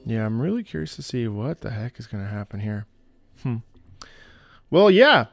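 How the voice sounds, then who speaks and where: A man's voice: mid-range voice, sing-song, normal speed, gravelly, no echo, studio quality. A man hosting a music reaction video, talking in a chatty, enthusiastic, casual way. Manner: chatty, enthusiastic, with many fillers and restarts.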